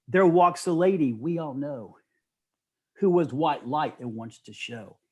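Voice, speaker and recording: A middle-aged man telling stories casually as an interview guest, with an animated, enthusiastic manner. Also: medium pitch.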